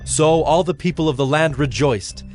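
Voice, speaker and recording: A man's voice: a little high, moderate pace, a little mellow. Solemn male scripture narrator over faint music.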